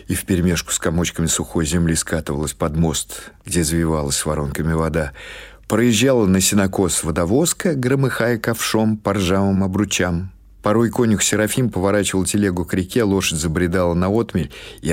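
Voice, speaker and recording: A man reading literary fiction as an audiobook narrator, in a measured, expressive storytelling manner. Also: sits low.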